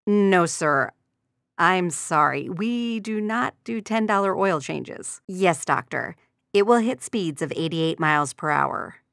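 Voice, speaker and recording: An actress playing a courteous but dryly sardonic receptionist at a car dealership, delivering scripted video game lines. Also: female voice, fairly low pitch, lively intonation, takes it slowly, gravelly, fairly bright tone, dry recording, studio quality, exaggerated voice. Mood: polite, businesslike. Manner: courteous customer-service formality with sardonic undertone. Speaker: secretary answering customer calls.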